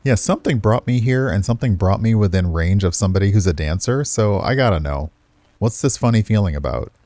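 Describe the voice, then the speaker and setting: A man's voice: on the low side, expressive, a little fast, dark tone, dry recording. A man narrating a visual novel expressively, with casual commentary as he streams it. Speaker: game streamer.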